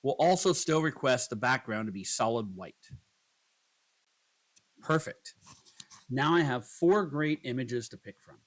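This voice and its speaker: A man narrating a scripted software tutorial, explaining each step in turn as a voice-over. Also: medium pitch, lively intonation, measured pace, long pauses.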